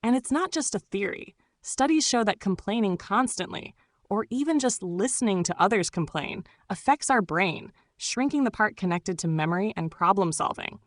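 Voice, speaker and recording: A woman delivering a scripted explainer in a measured, instructive way, often posing rhetorical questions as a mindfulness host. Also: medium pitch, expressive, dry recording.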